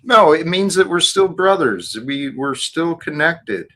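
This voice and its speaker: A man hosting a live chat show, calm and polite as he moderates guests. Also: on the high side, a little slow. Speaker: host. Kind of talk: casual chat.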